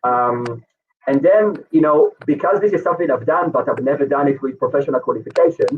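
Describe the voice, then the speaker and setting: A man's voice: mid-range voice, brisk. A man in his thirties from Israel with an Israeli accent, a guest in an interview, telling his story casually with many fillers and restarts. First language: Hebrew. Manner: rambling, lots of fillers and restarts. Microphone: video call. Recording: distant, tinny call audio with faint noise.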